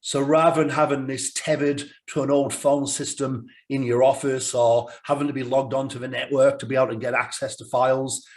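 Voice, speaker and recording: A man, croaky from a cold, presenting a business webinar over a video call. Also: mid-range voice, level tone, a little fast, hardly any pauses. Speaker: sales director presenting a webinar.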